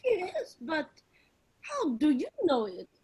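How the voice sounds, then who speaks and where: Woman as very high-voiced, halting work partner. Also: huge pitch swings, dark tone, exaggerated voice. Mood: amused. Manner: halting, terse, clipped grammar. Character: deadpan Russian work partner of the son. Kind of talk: staged reading of a comedy play.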